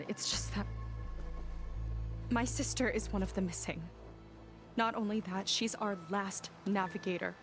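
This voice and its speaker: A woman's voice: a little high, lightly acted. A woman playing a proud, determined soldier in a video game, speaking in an earnest, insistent way. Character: determined, proud female soldier and navigator's sister, defiant. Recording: loud music under the voice.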